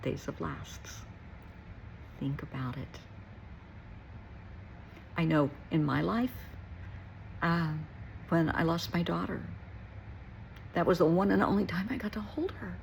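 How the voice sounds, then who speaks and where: A woman's voice: lowish voice, takes it slowly, vocal fry, pauses often, slight background noise, rough recording. A middle-aged woman giving a reflective personal talk to listeners, earnest and emphatic, repeating short phrases for weight. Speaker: therapist and nurse.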